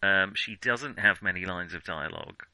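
Bright, crisp-edged man discussing films, haltingly. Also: deep voice, no room sound, low-quality recording. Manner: halting, digressive, thinking aloud. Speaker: film podcast co-host. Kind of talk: casual film review discussion.

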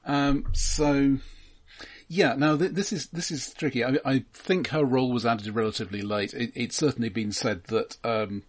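A husky-voiced man co-hosting a casual film talk, explaining things in a halting, considered, analytical way. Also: mid-range voice, steady tone. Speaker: film podcast co-host.

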